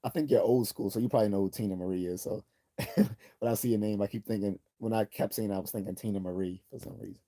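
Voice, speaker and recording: A man hosts a casual livestream, reading viewer comments aloud and chatting between them. His voice sits low, with a very dark, husky tone. He rambles with many restarts and stops to clear his throat. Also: fast talker. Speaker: livestream host.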